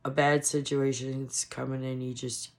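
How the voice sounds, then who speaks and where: A woman giving casual, frustrated political commentary on her vlog, hoarse from losing her voice. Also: rumbling low, little pitch movement, a little slow, a little mellow. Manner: frustrated, ranting. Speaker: commentary vlogger.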